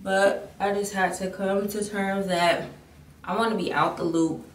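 A woman's voice: mid-range voice, steady tone, a little slow. A young woman in her twenties talking candidly to the camera as a beauty vlogger, rambling and restarting her sentences. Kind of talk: casual chat to camera. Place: bathroom at home, indoors. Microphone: camera mic at a distance. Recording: echoey bathroom, distant mic, background noise.

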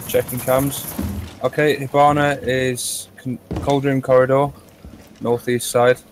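A man playing a tactical shooter, swearing freely and making quick callouts to his team. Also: medium pitch, level tone, slight background noise. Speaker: gamer streaming his match. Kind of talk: casual gameplay callouts.

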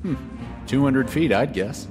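A man's voice: mid-range voice, animated, measured pace, lightly acted. An actor playing a mischievous, confident male adventurer in a fantasy audio drama, teasing and casual. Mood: casual confidence. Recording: long hall reverb over music.

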